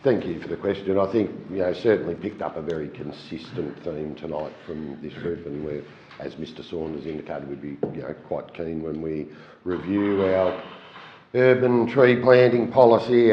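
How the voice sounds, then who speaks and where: Deep-voiced, measured executive. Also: male voice, slight background noise. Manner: measured, with many "you know" fillers. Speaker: council chief executive. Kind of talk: formal reply at a public meeting. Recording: echoey muffled chamber.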